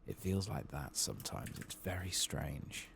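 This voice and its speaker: Very deep, soft-spoken gravelly man. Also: very animated, a little slow, noisy background. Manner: hushed, sometimes dropping into whispers. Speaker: ASMR gaming video host. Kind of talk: soft-spoken ASMR game playthrough with casual rambling.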